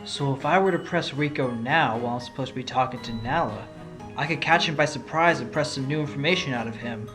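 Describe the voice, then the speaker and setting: A man's voice: mid-range voice, a little fast, music in the background, lightly acted. A man playing a confident fox defence lawyer with an assertive, probing courtroom manner in an audio drama. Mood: thoughtful.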